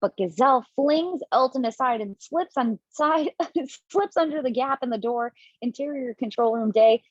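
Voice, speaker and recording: A woman's voice: high voice, moderate pace, hardly any pauses, no room sound, dull audio. A woman reading stage directions aloud in an amateur online table read, casual and chatty, with asides and corrections.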